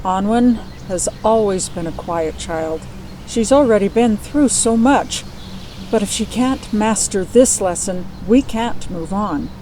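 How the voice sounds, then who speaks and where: A woman's voice: medium pitch, expressive, measured pace, dark tone, a little hiss or hum, close to an everyday voice, rough recording. A woman voicing a concerned mother talking about her daughter in an earnest manner. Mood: worried. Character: worried mother of a young mage.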